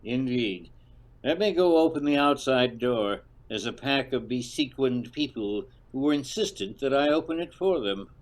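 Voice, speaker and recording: A man playing a dry, unflappable old radio veteran, deadpan and formal, in a comedic audio drama. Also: mid-range voice, normal speed, lightly acted, rough recording.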